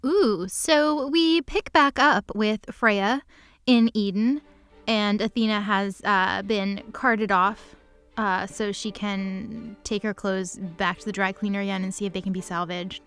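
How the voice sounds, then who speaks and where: A woman's voice: medium pitch, steady tone, a little slow, fairly bright tone, clear, resonant tone. A woman giving a casual, improvised comedic recap of a story in sarcastic, valley-girl phrasing. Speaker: recap narrator. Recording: music under the voice.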